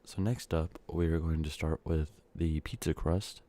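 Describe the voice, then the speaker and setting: A man with a cold softly speaking and whispering in a rambling ASMR video, sounding congested and short of breath. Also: a bass voice, fairly even intonation, measured pace. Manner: soft speaking drifting into whispers, rambling with fillers. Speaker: ASMR creator. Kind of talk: soft-spoken ASMR candy-making ramble.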